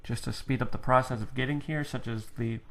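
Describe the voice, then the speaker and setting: A man's voice: mid-range voice, steady tone, fairly quick, creaky, fairly bright tone. A young man giving casual, rambling commentary on a video game as he plays, explaining things and poking fun at himself, recorded on a headset. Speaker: let's play gamer.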